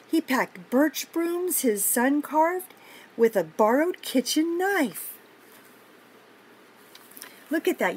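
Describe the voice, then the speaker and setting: An older woman reading a picture book aloud to children, pausing for simple, encouraging chatty asides about the pictures. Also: high voice. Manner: encouraging, explains pictures simply to young listeners.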